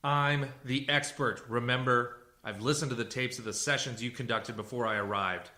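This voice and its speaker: A man's voice: medium pitch, steady tone, moderate pace, pushed and bright, natural voice. A man acting in a radio play as a blunt, self-assured civilian interrogator and psychologist who talks in a dry, cutting way. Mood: irritated, cutting. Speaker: actor.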